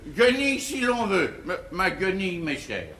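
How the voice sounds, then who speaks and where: An actor playing a henpecked, good-natured, food-loving bourgeois husband with theatrical bluster in a classical French verse comedy. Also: male voice, high-pitched, sing-song, moderate pace, pushed and bright, slight background noise, exaggerated voice. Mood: comic protest. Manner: theatrical, drawling, blustering then meek. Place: theatre stage. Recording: roomy 1950s theatre stage recording.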